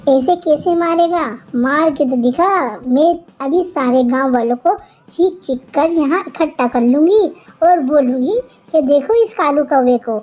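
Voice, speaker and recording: Woman voicing a high-pitched feisty little female sparrow. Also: unhurried, darkish tone. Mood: angry, scolding. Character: feisty female sparrow vegetable seller, quick to scold. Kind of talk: Hindi kids' cartoon character voice.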